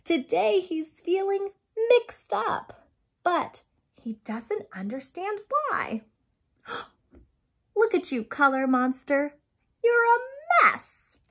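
A woman in her thirties reading a picture book aloud to young children in an expressive, dramatic way, stressing key words and addressing her listeners directly. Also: very high voice. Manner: dramatic gasps and emphatic, drawn-out key words. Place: small furnished room at home, indoors.

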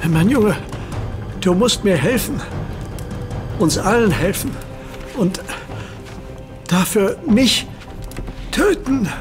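A man's voice: sits high, animated, slow, pauses often, music in the background. An actor playing an older father who is tormented by pain and speaks desperately in a German radio drama. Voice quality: groaning, strained and desperate.

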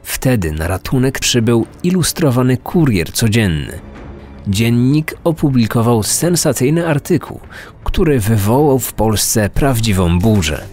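A man hosting a true-crime podcast with serious, deliberate scripted narration. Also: mid-range voice, sing-song, darkish tone. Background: faint music.

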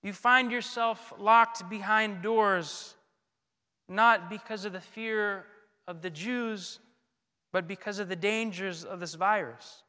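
A man in his thirties, a priest, delivering a scripted sermon in a solemn, deliberate manner with frequent pauses. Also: high voice, bright, forceful tone, clear, resonant tone. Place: large church, indoors at a pulpit. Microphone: gooseneck pulpit microphone. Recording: long church reverb.

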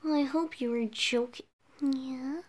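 A woman voicing a flustered, bashful boyish bard with cat ears in an ASMR roleplay, halting and stammering as the character talks to the listener. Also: high-pitched, steady tone. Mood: embarrassed, protesting. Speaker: audio roleplay performer.

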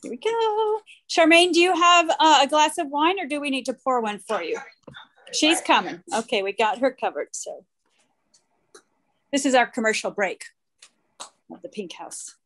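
A woman hosting a live online cooking class over a video call, chatty and playful with quick asides. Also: high-pitched, expressive, fairly bright tone. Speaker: class host.

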